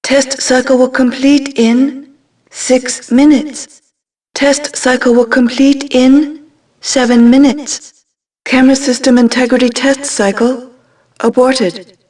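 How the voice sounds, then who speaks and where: Slow, impassive security computer. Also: female voice, high-pitched, steady tone, darkish tone, far from the mic. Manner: measured, impersonal, announcing. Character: impassive female computer of a building security system. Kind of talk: scripted video game system announcements.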